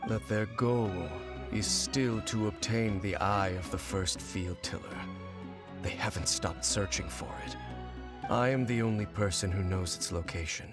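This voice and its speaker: Casting: man as guarded game warrior, grave and formal, low voice, measured lines, faint music behind. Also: lightly acted. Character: grave, guarded male warrior, ancient and secretive. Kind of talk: video game character acting.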